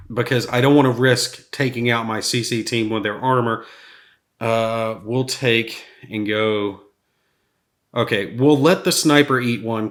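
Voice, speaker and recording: A man with a slightly raspy voice from a cold, thinking aloud as he plays a solo board game. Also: lowish voice, a bit bright. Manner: thinks aloud while rolling dice, chuckles at bad rolls.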